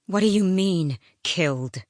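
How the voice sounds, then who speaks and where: A woman acts out a hot-tempered young female assassin from a fantasy audiobook. She challenges everyone sharply and forcefully. The sound is dry and close. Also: lowish voice, animated, unhurried, no echo, voice pushed up. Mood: angry, shocked.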